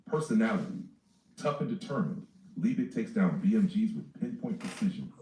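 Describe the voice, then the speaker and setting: A deep, mellow male voice reads out a character and campaign sheet in a flat, list-like way, with lively swings in pitch. He sounds distant from the mic, with an echoey ring and a faint hiss behind him. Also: normal speed.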